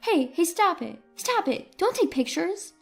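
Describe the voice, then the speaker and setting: Woman acting shameless middle-aged mother, very high-pitched, pleading, manipulative, story drama, faint music. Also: very animated, measured pace, creaky, exaggerated voice. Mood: panicked.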